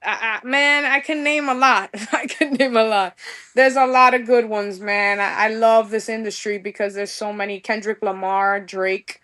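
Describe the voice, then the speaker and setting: A woman's voice: fairly high pitch, fairly even intonation, moderate pace, sharp tone. A young woman from New York with a New York accent, gushing in a casual podcast interview and mixing in street slang. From New York, USA. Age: young adult. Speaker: guest musician.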